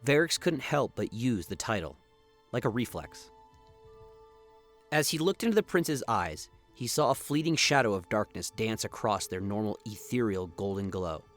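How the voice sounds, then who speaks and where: A man's voice: fairly low pitch, very animated, moderate pace, faint music behind. A man reading dramatic scripted narration for a sci-fi radio play, as the storyteller.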